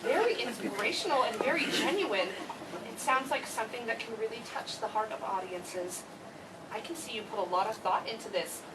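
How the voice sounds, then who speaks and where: Lady playing a super gushy quirky teacher in a play reading, highish voice, mic far off. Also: fairly quick, natural voice. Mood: delighted. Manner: effusive, gushing. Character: quirky, overenthusiastic woman playwriting teacher. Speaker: actor in a staged play reading. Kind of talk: live theatre play reading. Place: read before a live audience, far camera. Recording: noisy, distant room recording.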